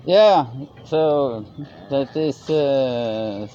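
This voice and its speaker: A man's voice: mid-range voice, expressive, dark tone, a little hiss or hum. Middle-aged Norwegian man, non-native English, hesitant restarts, dull outdoor recording. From Norway. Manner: halting, searching for English words with many restarts. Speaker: vlogger. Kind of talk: casual chat. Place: outdoors by a river.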